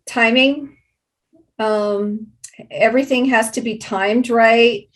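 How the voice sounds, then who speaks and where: A woman giving unscripted interview answers as a guest, explaining programs in a halting, deliberate way. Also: on the high side, fairly even intonation.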